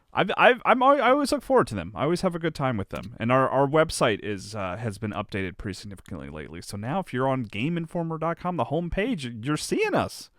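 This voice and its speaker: A man's voice: mid-range voice, a bit bright, no room sound, studio quality. A man from South Carolina hosting a casual livestream, upbeat and jokey. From South Carolina, USA. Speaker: livestream host. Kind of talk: casual chat.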